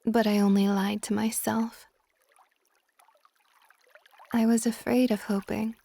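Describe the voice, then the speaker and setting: A woman playing a possessive, tender dragon goddess in an intimate ASMR roleplay, speaking softly and breathily, often near a whisper. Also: mid-range voice, flat delivery. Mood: wistful, tender. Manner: soft, breathy, slow, often dropping to whispers.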